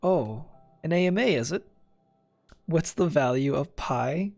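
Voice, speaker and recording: A man's voice: fairly high pitch, lively intonation, unhurried, creaky, a little mellow, no room sound, soft background music. A man giving casual, sarcastic, wisecracking commentary as he streams a game.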